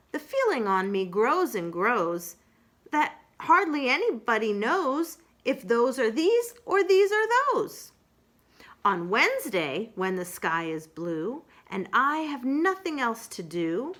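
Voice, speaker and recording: A woman voicing a naive, earnest toy bear who recites in a deliberate singsong for a storybook. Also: sits high, a bit bright, voice pushed up, full character voice.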